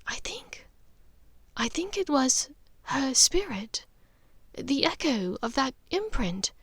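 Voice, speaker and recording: A woman voicing a haunted, gently resolute witch mother in an audiobook, speaking earnestly and haltingly with care. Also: high-pitched, very animated, husky, no echo, pitched above the performer's own voice, full character voice. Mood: halting, urgent. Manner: halting, vehement, careful. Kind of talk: audiobook character dialogue.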